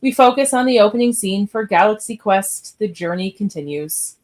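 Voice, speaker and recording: Woman narrating a script, mid-pitched. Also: expressive, normal speed, a little mellow. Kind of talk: scripted stage-direction narration.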